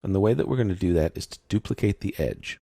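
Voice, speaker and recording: A man narrating a step-by-step software tutorial as an instructor, explaining in an informal, unscripted way. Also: low voice, very animated, quick pace, vocal fry, breathy edge, no echo, clean studio sound.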